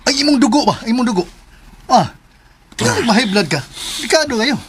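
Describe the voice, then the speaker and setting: An actor with a Filipino accent playing an excitable, fussing adult son who stammers and repeats his words in a radio drama. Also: extremely high pitch, a little fast, noisy background.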